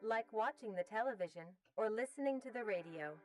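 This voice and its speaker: A woman playing a calm, informative narrator of a pet-care guide, speaking in a measured, instructional way. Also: fairly high pitch, far from the mic, faint music behind, close to an everyday voice, low-quality recording.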